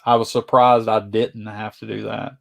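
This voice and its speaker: Man, mid-forties, slightly low voice, easygoing, agreeable, casual chat. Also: little pitch movement, moderate pace, gravelly, a bit bright. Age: 40s. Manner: easygoing, agreeable, many fillers.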